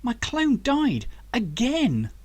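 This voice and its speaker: A man's voice: extremely high pitch, huge pitch swings, takes it slowly, a little mellow, pitched above the performer's own voice, heavily acted. A man voicing an eager, curious young male hero in an audiobook, asking questions and exclaiming keenly. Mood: shocked. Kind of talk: audiobook character dialogue.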